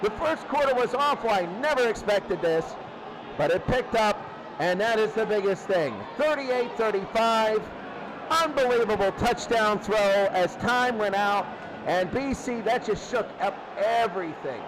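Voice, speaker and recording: A man's voice: high voice, animated, unhurried, noisy background. A man hosting a sports post-game show, recapping games in an emphatic way and repeating his phrases.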